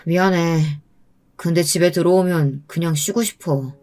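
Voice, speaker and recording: A woman's voice: fairly low pitch, moderate pace, darkish tone, faint music behind, close to an everyday voice. A woman voicing a weary, evasive newlywed husband with a curt, dismissive manner in an audio story. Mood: tired, defensive.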